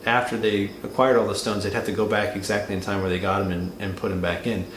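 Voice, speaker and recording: A man's voice: on the low side, little pitch movement, fast. A man co-hosting a movie review, talking casually and unscripted, often rephrasing as he goes. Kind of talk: casual unscripted film discussion. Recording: roomy echo, light background noise.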